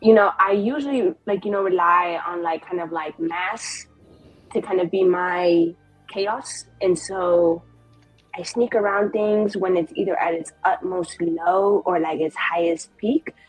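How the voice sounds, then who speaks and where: A woman's voice: on the low side, measured pace, no room sound, low-quality recording. A woman playing a tabletop roleplaying game, improvising in character in a playful, informal way. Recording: background music.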